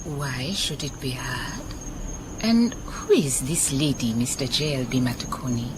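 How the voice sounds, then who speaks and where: An actress playing a warm, wise woman detective with a Southern African accent in a radio drama, speaking in a measured, emphatic way. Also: very deep voice. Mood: reassuring, teasing. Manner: unhurried, measured, emphatic repetition. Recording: noticeable background noise, chirping night insects.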